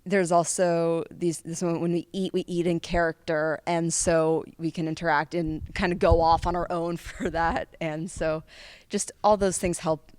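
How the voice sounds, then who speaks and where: Teen female student actor, lowish and smooth, closely recorded and dry, informal interview answers. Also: flat delivery, moderate pace, darkish tone, no echo. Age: teens.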